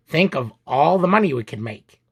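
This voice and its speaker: A man's voice: fairly high pitch, animated, normal speed, husky. Man playing a grandiose scheming mouse showman. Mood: greedy, scheming. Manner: grandiose, proclaiming. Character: scheming, boastful, greedy male mouse doctor, a showman.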